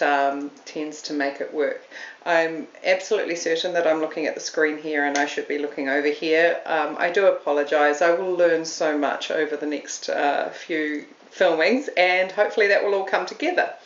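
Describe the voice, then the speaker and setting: Woman, New Zealand accent, middle-aged, chatty and self-deprecating, echoey room. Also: deep voice. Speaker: podcast host. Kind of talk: casual solo talk to camera. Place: room at home. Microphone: camera on a stand. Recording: roomy, slightly dull home recording.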